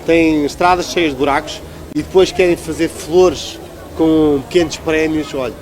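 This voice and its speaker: A man's voice: on the high side, fairly even intonation, normal speed, darkish tone, a little hiss or hum, low-quality recording. A man with a European Portuguese accent giving a conversational political interview as a local politician, arguing emphatically and repeating phrases for stress.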